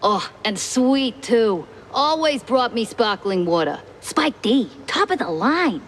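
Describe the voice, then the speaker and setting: A woman voicing a brash, excitable explosives worker from a film lot in a cartoon noir game, chatty and slangy. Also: fairly high pitch, slow, a little hiss or hum. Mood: wistful, chatty. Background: music.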